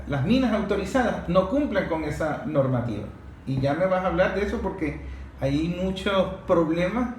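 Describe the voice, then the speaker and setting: A man with a notably high voice and a Venezuelan accent speaks in an unscripted, analytical way. He argues and explains with emphasis. The recording is echoey, with faint noise behind him. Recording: roomy echo, faint background noise.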